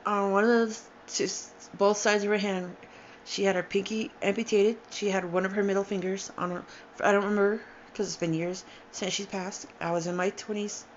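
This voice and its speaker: A woman's voice: medium pitch, steady tone, a little hiss or hum. Weary woman in her forties, muffled recording. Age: 40s. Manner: halting, emotional, with sighs and restarts. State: tired and upset. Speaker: storyteller sharing a personal account. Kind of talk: unscripted personal testimony.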